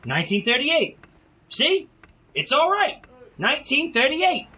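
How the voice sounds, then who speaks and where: An actor playing a brash, cheeky young street newsboy in a retro radio drama, slangy and given to hawking. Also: male voice, very high voice, wildly swooping pitch, unhurried, pushed and bright, exaggerated voice. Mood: cheeky, puzzled. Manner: hawking singsong cries, slangy.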